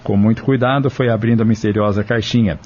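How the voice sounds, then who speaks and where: A man's voice: fairly low pitch, steady tone, fast talker, darkish tone. A man reading scripted narration for an audio novel. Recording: dull lo-fi radio drama sound.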